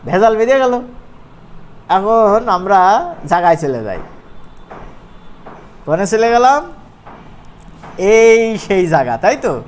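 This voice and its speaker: A man's voice: high-pitched, big pitch swings, unhurried, pauses often. Bangladeshi man teaching, noisy recording. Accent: Bangladeshi regional Bengali. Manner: conversational, often asks check-back questions. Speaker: animation course instructor. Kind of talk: informal software tutorial to students. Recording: noisy room, clearly audible background noise.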